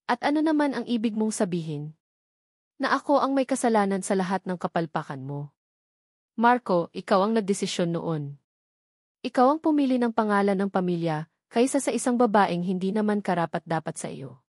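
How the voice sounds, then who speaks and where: A woman's voice: mid-range voice, expressive, normal speed, darkish tone, no echo, close to an everyday voice. A woman narrator plays a domineering older father in a story, with a Filipino accent. The delivery is cold, mocking and threatening, and the sound is dry. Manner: contemptuous, threatening.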